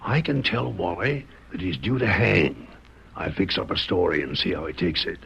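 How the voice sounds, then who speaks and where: A crooked western sheriff, smug and sly. He drawls folksily in a lowish, gravelly voice on a dull old radio recording. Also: normal speed, a bit bright. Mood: conspiring. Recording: dull vintage radio recording, faint noise.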